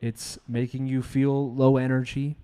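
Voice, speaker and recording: A man co-hosting a call-in show in a conversational, polite, halting way. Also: medium pitch, level tone, warm tone, clean tone.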